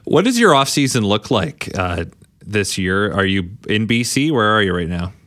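Low-voiced sports radio host. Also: male voice, big pitch swings, normal speed, a bit bright, no room sound.

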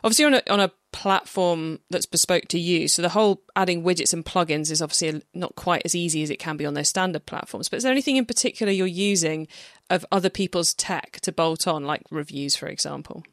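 A podcast host interviewing a guest, upbeat, reacting quickly and adding friendly asides. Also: medium pitch, fairly bright tone, no room sound, studio quality.

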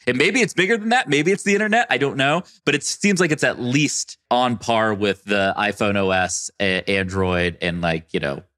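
A man's voice: mid-range voice, moderate pace, hardly any pauses, bright, forceful tone. A male podcast co-host chatting casually in an emphatic, informal way. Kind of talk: casual chat.

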